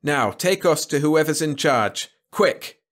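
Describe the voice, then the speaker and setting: A man's voice: mid-range voice, animated, normal speed, fairly bright tone, voice pushed up, close to an everyday voice. A man voicing a brash, impatient, anxious male freighter pilot with a curt manner in an audiobook story.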